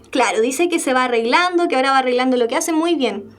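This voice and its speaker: A woman's voice: high-pitched, moderate pace. A young Chilean woman teaching a live exam-prep class. She reads a text aloud, explains it, and keeps checking in with her students. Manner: lively, checks in with students by asking "¿ya?" and "¿verdad?" again and again.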